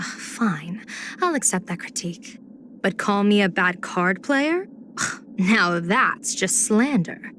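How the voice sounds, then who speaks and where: Lady doing a breezy teasing general fortune teller for a game, mid pitch, poised and theatrical, some noise behind it. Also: close to an everyday voice. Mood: playful. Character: playful, confident female general and diviner, teasing, breezy. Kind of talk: video game character voice lines.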